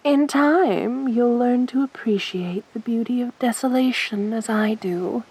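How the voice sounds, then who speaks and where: A woman playing a possessive, teasing siren in a soft, breathy voice for an ASMR roleplay, with a seductive, toying manner. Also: on the high side, rough recording. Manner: slow, seductive, toying, assertive. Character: beautiful sadistic siren, winged monster woman, teasing, possessive.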